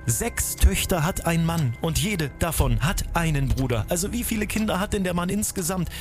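A man's voice: a little high, expressive, normal speed. A man hosting a live call-in quiz, urging listeners on in an insistent, pleading, repetitive way. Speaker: quiz show host. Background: loud music bed.

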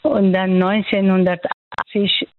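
A woman's voice: mid-range voice, little pitch movement, slow, dry recording. Woman on a phone line. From Afghanistan. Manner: hesitant, many fillers, searching for words. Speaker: general practitioner. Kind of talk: radio interview over the phone.

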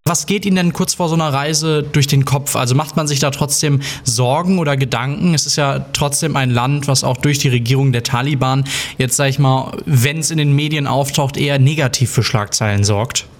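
A man's voice: mid-range voice, normal speed. A man asking questions in a conversational style as a radio interviewer.

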